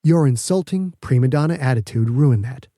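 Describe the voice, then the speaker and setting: Man as a condescending woman governor, mellow-toned. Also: medium pitch, expressive, no room sound, studio quality, natural voice. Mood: smug. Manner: condescending, pointed, measured. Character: smug, calculating woman governor, a seasoned politician.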